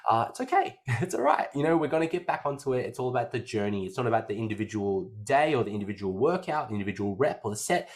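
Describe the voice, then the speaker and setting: A man's voice: mid-range voice, big pitch swings, fast, few pauses. A man, a fitness coach hosting a casual podcast, slightly nasal after an illness and chatty, often repeating phrases.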